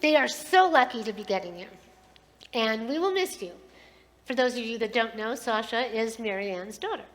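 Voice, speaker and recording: A woman's voice: on the high side, expressive, normal speed, rough recording. A middle-aged woman leading a service in a synagogue sanctuary, heartfelt and affectionate as she gives a blessing and a sermon.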